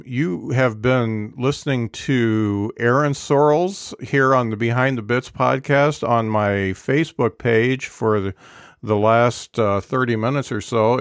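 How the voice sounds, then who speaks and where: A man's voice: medium pitch, no echo, studio quality. A man in his fifties hosting a live-streamed podcast, chatting casually with frequent fillers and restarts. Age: 50s. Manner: hesitant, many fillers and restarts. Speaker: podcast host. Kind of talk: casual interview chat.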